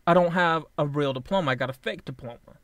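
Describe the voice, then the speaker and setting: A man's voice: on the high side, dry recording. A man in his late twenties talking through a casual personal vlog, rambling and blunt, swearing freely and often restarting his sentences. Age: 20s. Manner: halting with frequent restarts. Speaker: vlogger.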